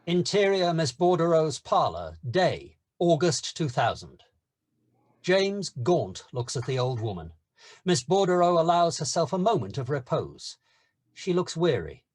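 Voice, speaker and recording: A man's voice: on the high side, big pitch swings, a little slow. A man giving scripted narration, reading stage directions at a screenplay table read.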